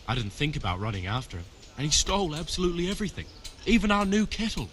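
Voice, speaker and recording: An animated, earnest wronged villager. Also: male voice, a little high, fast talker, heavy background noise. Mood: rattled. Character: robbed, grieving village man, simple and grateful. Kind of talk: video game dialogue acting.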